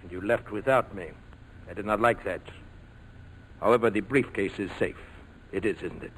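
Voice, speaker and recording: An actor playing a coolly patient villain, formal, measured and quietly menacing, in a 1940s radio drama. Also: male voice, fairly low pitch, lightly acted. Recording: noisy old 1940s radio broadcast.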